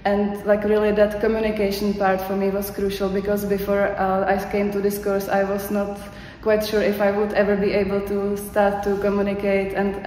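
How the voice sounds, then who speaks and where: A woman's voice: mid-range voice, darkish tone, slight background noise. Czech-accented woman in an echoey hall. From Czech Republic. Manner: hesitant, many fillers and restarts. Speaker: course graduate giving a testimonial. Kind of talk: unscripted testimonial. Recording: echoey hall with faint background ambience.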